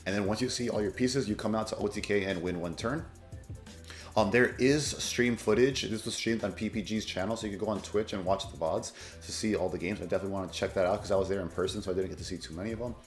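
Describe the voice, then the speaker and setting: Fast-talking hoarse man, echoey room. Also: lowish voice, faint music behind. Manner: rambling, fillers and self-corrections. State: hoarse, voice mostly lost. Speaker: card game content creator. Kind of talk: casual commentary on tournament results.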